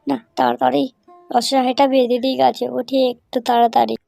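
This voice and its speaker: An anxious old male cartoon fox, acted by a woman, with a high, gravelly and mellow voice. He mutters fretfully and repeats himself, like someone fed up with being robbed. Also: little pitch movement, fast, no echo, studio quality, soft background music. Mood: fretful, hurried. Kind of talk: amateur cartoon dubbing.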